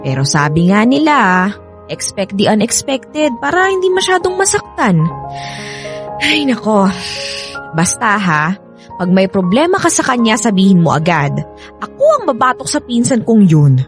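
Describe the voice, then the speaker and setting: A woman's voice: medium pitch, big pitch swings, measured pace, pauses often, over music. An actress playing a chatty, frank, protective female cousin in a Filipino radio drama, with a Filipino accent. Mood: frank, cautioning.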